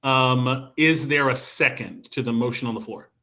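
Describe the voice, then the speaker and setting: A man chairs a council session over a video call. His voice is bright and forward, with a middle pitch. He speaks hesitantly, filling pauses with ums and repeating himself. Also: steady tone. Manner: hesitant, with frequent ums and restarts. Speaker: council president chairing a meeting. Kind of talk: procedural meeting chairing.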